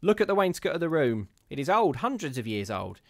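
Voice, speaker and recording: A man's voice: fairly high pitch, big pitch swings, brisk, no room sound, pitched above the performer's own voice. A man putting on a harsh, scolding old charwoman. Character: gruff, scornful old charwoman, unafraid.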